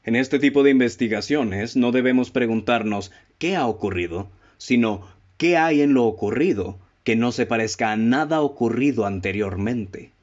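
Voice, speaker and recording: A man with a Mexican accent acting a self-assured, analytical detective in a radio play, speaking formally and deliberately, on a lo-fi recording. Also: medium pitch, a little mellow, close to an everyday voice. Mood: confident, explaining. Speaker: amateur actor in a radio play.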